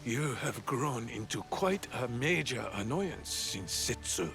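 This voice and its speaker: A man playing a middle-aged, scheming feudal Japanese lord and stern father in a video game, measured and imperious, with veiled menace. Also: medium pitch, very animated, creaky, heavily acted. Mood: curt, imperious. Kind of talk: cinematic video game acting. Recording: music behind the voice. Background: background music.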